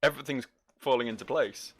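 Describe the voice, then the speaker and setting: A man's voice: mid-range voice, fast talker, creaky, fairly bright tone. A man giving casual, joking let's play commentary, rambling and teasing as he talks to the game's character. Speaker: gaming video host.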